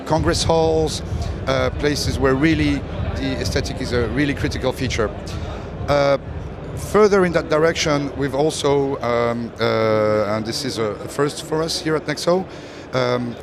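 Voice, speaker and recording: A man giving an unscripted, technical product presentation as a company representative, explaining things in a halting way. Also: medium pitch. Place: exhibition stand at a trade show. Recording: busy trade-show hall with crowd murmur.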